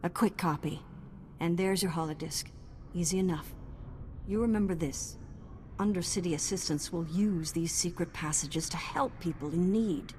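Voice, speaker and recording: A woman's voice: on the low side, normal speed, a little mellow, natural voice. An actress playing a savvy, plainspoken undercity mother in a video game, wry and easygoing. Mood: wry, approving. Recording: background ambient noise.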